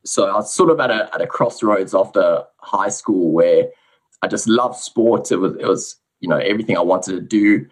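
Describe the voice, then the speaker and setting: A man with a South African accent, a guest researcher giving a relaxed, explanatory account of his background on a podcast. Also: lowish voice, normal speed. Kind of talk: casual podcast interview.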